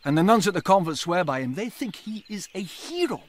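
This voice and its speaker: An actor playing a wry, hard-drinking colonial gentleman in a radio drama, teasing and cynical, with a sardonic, probing way of talking. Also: sits high, sing-song, fairly quick, a little hiss or hum.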